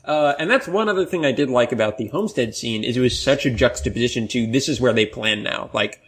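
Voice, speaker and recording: A man talks quickly in a casual podcast discussion. His pitch sits in a middle range, and he lays out his points in an analytical, explanatory way. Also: slightly rough. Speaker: podcast panelist.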